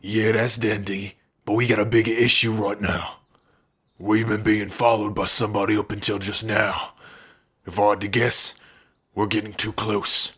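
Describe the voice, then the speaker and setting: Man as a wry, husky fantasy fighter. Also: fairly low pitch, flat delivery, moderate pace, a bit bright. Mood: wry, cautious. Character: level-headed, wry male comrade of an orc warrior. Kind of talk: ASMR fantasy roleplay acting.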